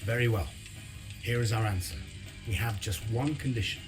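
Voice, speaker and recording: A man playing a calm, commanding resistance leader in a video game cutscene, firm and decisive in his delivery. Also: lowish voice, normal speed, music in the background, lightly acted, rough recording. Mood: calm, resolute. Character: resolute male resistance leader, calm, commanding, weary of infighting.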